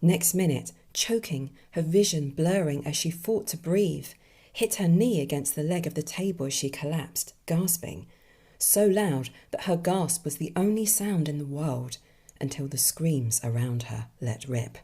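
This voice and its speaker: A woman hosting a writing podcast, moving between casual musing and expressive story reading. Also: deep voice, a little slow, husky. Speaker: podcast host and author.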